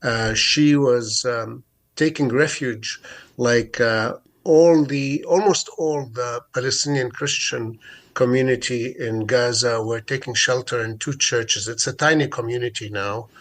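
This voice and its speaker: A man's voice: medium pitch, unhurried, a bit bright. A middle-aged man from Jerusalem, a guest on a radio interview, speaking with many fillers and restarts. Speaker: guest activist.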